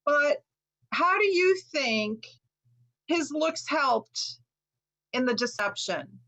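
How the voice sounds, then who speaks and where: A woman's voice: sits high, expressive, slow, a bit bright. A woman author raised in Chicago speaking Lithuanian first, giving an earnest, reflective online talk with frequent ums. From Chicago, USA. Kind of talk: online lecture presentation.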